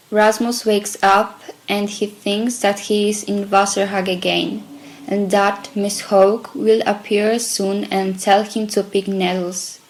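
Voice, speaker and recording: Schoolgirl narrating a story from a script, slow and pretty monotone. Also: medium pitch, rough recording. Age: child or teenager.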